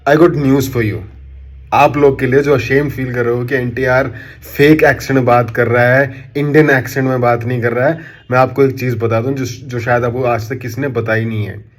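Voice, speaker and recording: A man's voice: mid-range voice, steady tone, normal speed. A man with an Indian accent ranting to camera in an opinion vlog, indignant and sarcastic, mixing Hindi with English. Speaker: commentator.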